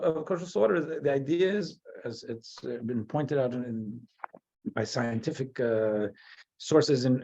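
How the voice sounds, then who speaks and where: A man's voice: fairly high pitch, gravelly, a little mellow, slightly rough. An American man from New Jersey, a rabbi teaching a Torah class. He halts often, restarting and repeating words as he thinks aloud. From New Jersey, USA. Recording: dull, lo-fi sound.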